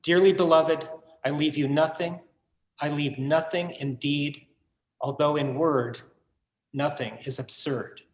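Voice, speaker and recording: An actor playing an anxious, self-absorbed collector with earnest, literary phrasing in a staged play reading. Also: male voice, fairly high pitch, fairly even intonation, measured pace, echoey room, lightly acted, rough recording. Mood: solemn reciting. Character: anxious, self-absorbed, wordy male collector brooding on his legacy. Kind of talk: theatrical staged reading, monologue and verse.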